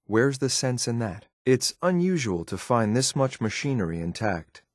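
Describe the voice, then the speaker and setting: A man's voice: mid-range voice, very animated, normal speed, dry recording, studio quality, lightly acted. A man playing a cool, efficient synth enforcer in a video game, clipped and matter-of-fact, watchful and faintly condescending. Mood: cool, wary. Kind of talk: video game companion voice lines.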